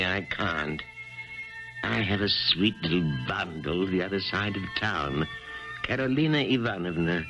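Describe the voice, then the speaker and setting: An actor playing a pompous, blustering older Russian general in a radio drama, hemming and self-important. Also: male voice, deep voice. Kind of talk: 1970s radio drama acting. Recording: dull old radio broadcast with music.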